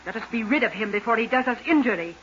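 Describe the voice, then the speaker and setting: A woman's voice: on the high side, lively intonation, fast talker, fairly bright tone. A woman playing a ruthless, scheming crime boss's daughter in a 1940s radio serial, theatrical and conspiratorial. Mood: suspicious, insistent. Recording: muffled, noisy 1940s radio recording.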